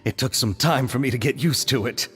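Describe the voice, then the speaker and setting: A man's voice: medium pitch, fairly quick, slightly rough, long reverb tail, close to an everyday voice. A man voicing an earnest, anxious film director in a video game, with a hesitant, effusive delivery. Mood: friendly, matter-of-fact. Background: music.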